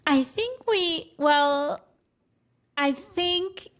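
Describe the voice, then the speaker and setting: A woman's voice: high-pitched, expressive, extremely slow, a bit bright. A woman whose first language is Spanish, speaking English as a guest in a conversational interview, thoughtful and often restarting her phrases. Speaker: guest, spiritual teacher.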